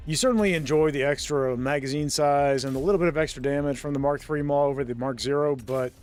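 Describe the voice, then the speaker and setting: A man casually talking through a co-op space game on stream, chatty and quick to laugh, thinking aloud with plenty of ums. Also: fairly high pitch, a little mellow. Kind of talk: casual gameplay commentary. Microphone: desk mic with noise suppression.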